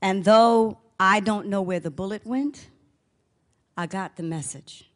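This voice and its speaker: A woman giving an inspirational conference talk, measured and rhythmic, stacking words in cadenced lists. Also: mid-range voice. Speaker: conference speaker.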